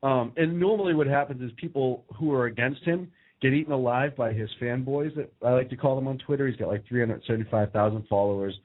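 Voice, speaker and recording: A man's voice: mid-range voice, fast talker, few pauses. A man co-hosting a casual political talk show, joking and sarcastic as he tells stories, often breaking into laughs. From United States. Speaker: co-host. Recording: phone-like narrow sound.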